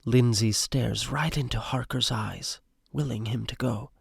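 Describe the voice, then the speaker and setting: A man's voice: mid-range voice, measured pace, vocal fry, husky, dry recording, studio quality. A man reading scripted stage directions as the narrator of an audio drama. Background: music.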